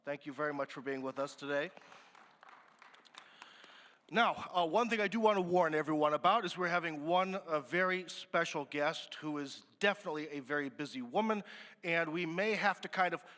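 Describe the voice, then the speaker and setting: A man moderates a business forum from the stage, chatting easily and filling pauses as he goes. His voice is bright and fairly high, and it rings with the long reverb of a large conference hall. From United States. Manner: chatty, relaxed, with many fillers.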